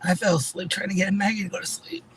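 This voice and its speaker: A woman's voice: mid-range voice, fairly even intonation, rapid-fire, a little hiss or hum. A woman sick with the flu, chatting on a casual livestream. She speaks in a tired, plain way.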